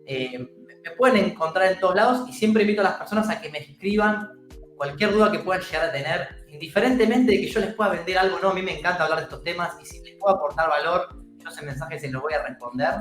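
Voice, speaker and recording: A man with an Argentine accent talks enthusiastically as a guest, encouraging listeners in a somewhat high voice. He speaks casually about training over a video call. The sound carries a roomy echo. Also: quick pace, a bit bright, faint music behind. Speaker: calisthenics instructor guest. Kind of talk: casual interview chat. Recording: roomy echoey call audio.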